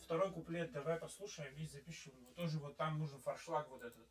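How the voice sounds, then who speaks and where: A man's voice: a little high, quick pace. A man in casual studio talk, giving informal instructions and repeating words.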